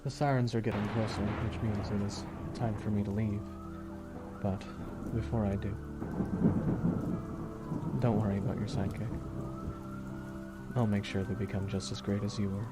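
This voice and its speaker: A man playing a brooding, grieving supervillain in a dramatic ASMR roleplay, speaking solemnly and haltingly with weighty pauses. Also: on the low side, little pitch movement, natural voice. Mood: solemn, tender. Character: brooding, grieving male supervillain saying farewell to his nemesis. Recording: loud music and rain behind. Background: music and falling rain.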